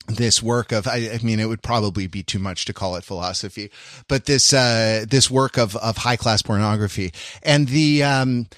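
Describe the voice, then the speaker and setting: A man's voice: mid-range voice, fairly bright tone, no room sound, clean studio sound. A middle-aged man chatting as a podcast host in a casual, intellectual way, wry and digressive, often searching for his words. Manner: erudite, wry.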